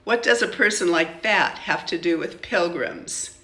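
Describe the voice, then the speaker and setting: An older woman playing a spiteful storybook schoolgirl who mocks and taunts. The words are drawn out and emphatic, in a bright, slightly creaky voice. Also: fairly low pitch, unhurried, echoey room. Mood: mocking.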